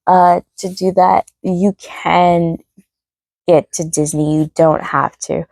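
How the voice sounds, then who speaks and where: Female vlogger, chatty and apologetic, gravelly fry in a deep register, voice wearing thin with fatigue. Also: a little mellow, no room sound. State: voice starting to go hoarse; tired, low energy. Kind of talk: casual rambling chat to camera.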